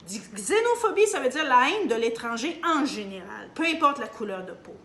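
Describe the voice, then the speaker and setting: A woman's voice: high-pitched, very animated, normal speed, fairly bright tone. Canadian French woman, echoey room. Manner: emphatic, hesitant with many fillers, addresses viewers directly. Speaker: video commentator. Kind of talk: opinion monologue to camera. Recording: echoey room, faint background hum.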